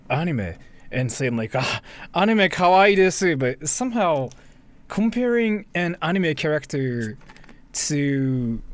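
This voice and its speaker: A man chatting casually as a livestream host, rambling and haltingly repeating himself. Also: a little high. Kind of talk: casual livestream chat and reaction commentary.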